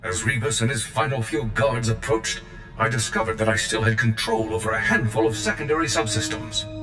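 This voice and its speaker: An actor playing a loyal, zealous military droid in a video game, speaking formally, precisely and earnestly. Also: male voice, on the low side, completely flat delivery, a little fast, natural voice. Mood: matter-of-fact, reporting. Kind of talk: scripted video game acting. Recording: game cutscene with echo and music.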